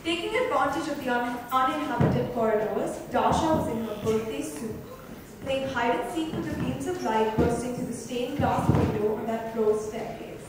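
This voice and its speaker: A woman's voice: on the high side, moderate pace. Woman narrating in a very echoey hall. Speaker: reader. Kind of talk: dramatic literary narration. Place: club lounge, indoors. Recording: echoey hall, distant mic, background noise.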